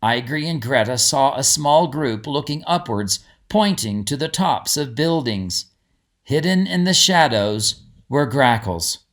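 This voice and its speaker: A male audiobook narrator reads a storybook with expression. His mid-pitched voice rises and falls in a lively way as he tells the tale. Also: a little slow.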